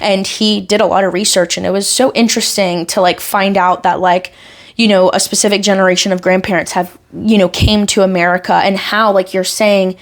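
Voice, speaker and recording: A woman's voice: mid-range voice, level tone, brisk. Chatty woman podcast host. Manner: chatty, agreeable, rambling. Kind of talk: casual podcast chat.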